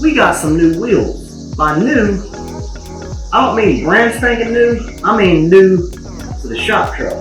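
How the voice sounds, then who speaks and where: A man's voice: high-pitched, roomy sound, rough recording. A man in his thirties hosting a garage build channel, chatting casually to the camera as he works in an open outdoor carport. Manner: relaxed, chatty, greets viewers. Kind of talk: casual on-camera talk in the shop.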